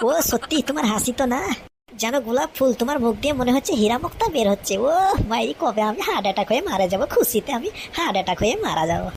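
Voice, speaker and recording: Woman putting on a high-voiced foolish cartoon husband. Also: expressive, rapid-fire, darkish tone, slight background noise. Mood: besotted. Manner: wheedling, exaggerated, melodramatic. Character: foolish doting cartoon husband, pleading and easily fooled. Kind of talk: comic cartoon dialogue.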